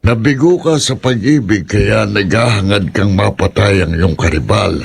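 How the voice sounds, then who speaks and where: Older folk ritualist, low husky voice, earnest, pleading, horror radio drama. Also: male voice, moderate pace. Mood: reproachful.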